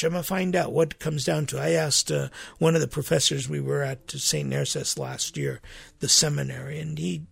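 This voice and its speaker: A man with a raspy voice, a priest hosting his podcast, talking earnestly and conversationally, with restarts and sudden emphatic rises. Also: a little high, no echo. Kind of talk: informal spiritual talk. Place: studio.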